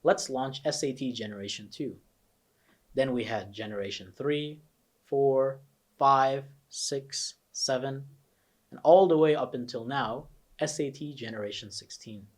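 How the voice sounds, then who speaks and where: Young american guy, test prep coach, pushy persuasive pitch, mid pitch voice. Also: unhurried. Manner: earnest, persuasive, emphatic. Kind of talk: promotional course walkthrough.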